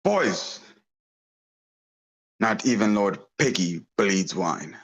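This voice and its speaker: A man's voice: fairly low pitch, big pitch swings, drawn-out words, vocal fry, breathy edge, lo-fi sound, full character voice. A man playing a sardonic, curt ringleader of bumbling crooks in a script table read. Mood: bossy.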